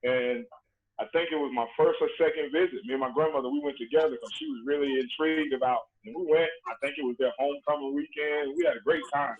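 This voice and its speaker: A man, telling stories in a relaxed, earnest way with lots of "you know" fillers, heard over a remote call. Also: fairly high pitch, quick pace. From Dublin, Georgia, USA. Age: late 30s. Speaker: guest, former basketball player. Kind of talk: casual storytelling conversation. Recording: tinny phone-like call line with faint noise.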